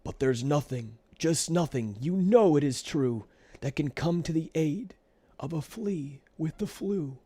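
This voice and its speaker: A man's voice: on the high side, animated, unhurried, dark tone, slightly rough, pitched above the performer's own voice. A man voicing a selfish, scheming flea from a children's storybook, melodramatic, whiny and boastful. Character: selfish, scheming male flea feigning illness.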